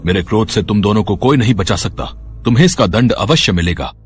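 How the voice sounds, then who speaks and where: An actor voicing a stern, wrathful male god with a husky voice in a devotional story. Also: on the low side, lively intonation, fairly quick, close to an everyday voice. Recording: faint music bed.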